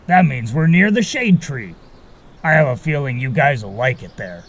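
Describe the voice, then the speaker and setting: Man voicing a breezy, gravelly marsh fairy guy. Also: medium pitch, sing-song, moderate pace, a little hiss or hum. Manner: breezy, casual, a bit evasive. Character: carefree, cagey male marsh fairy guide. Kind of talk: audio drama acting.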